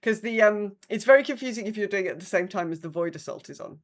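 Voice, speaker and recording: A woman co-hosting a podcast, chatting casually in a halting, self-interrupting way. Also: medium pitch, animated. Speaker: podcast co-host. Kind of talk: casual chat.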